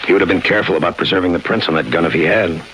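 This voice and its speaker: Low-voiced hardboiled American homicide cop. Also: male voice, very fast. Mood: accusing, cool. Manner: clipped, deadpan. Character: hardboiled male homicide police lieutenant, terse and unsentimental. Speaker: lead actor and narrator. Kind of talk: 1950s radio crime drama acting, noir narration. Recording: noisy 1950s radio broadcast recording.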